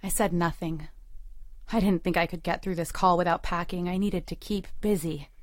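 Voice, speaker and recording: A woman's voice: fairly low pitch, steady tone, moderate pace, no echo, studio quality, natural voice. A woman playing a hurt, resolute girlfriend in an audio drama, with an intimate, controlled and emotionally weighted delivery. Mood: subdued, wistful narration. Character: hurt, resolute girlfriend leaving her long-term boyfriend. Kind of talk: audio drama acting with first-person narration.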